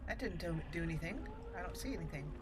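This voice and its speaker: A woman's voice: lowish voice, quick pace. Chatty woman narrating gameplay over noise. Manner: chatty, excitable, talks to the game characters. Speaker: gaming channel host. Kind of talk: casual let's-play commentary. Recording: noisy background.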